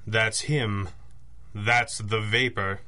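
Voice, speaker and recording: An actor playing a sober, analytical masked crimefighter in a 1930s-style radio drama, crisp and formal. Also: male voice, on the low side, steady tone, very slow, pushed and bright, heavily acted. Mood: grave, urgent.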